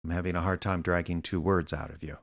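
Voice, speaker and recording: An actor playing an older, wry private eye with a dry, sardonic, kindly manner in a radio drama. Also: male voice, low voice, steady tone, quick pace, gravelly. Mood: gently awkward. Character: aging wry small-town private eye, gruff but kindly. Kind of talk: radio drama acting with narration.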